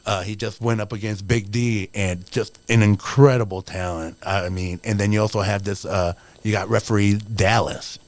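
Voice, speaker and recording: A man's voice: lowish voice, fairly even intonation, moderate pace, hardly any pauses, no echo. A man in his forties, a wrestler guest on a podcast, talking in an earnest, motivational way with lots of "you know". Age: 40s. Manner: earnest, motivational, rambling with many fillers. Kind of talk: casual podcast interview.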